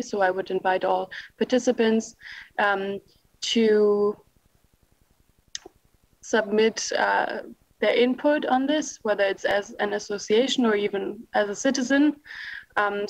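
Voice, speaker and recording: A woman official walks through a policy plan in a careful, halting way. Her intonation barely moves, staying level and even. She is on a video call, and the sound is dry and close with no room echo. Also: medium pitch. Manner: measured, with frequent pauses and filler sounds. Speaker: policy official at a panel discussion. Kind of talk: formal briefing answer.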